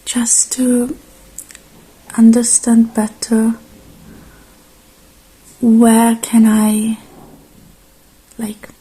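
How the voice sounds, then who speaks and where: Hushed, slow, dark-voiced ASMR woman. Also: a little high, little pitch movement, breathy edge, low-quality recording. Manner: reassuring, hushed, halting delivery in short phrases. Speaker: ASMR roleplay performer. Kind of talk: soft-spoken ASMR roleplay, alternating with whispering.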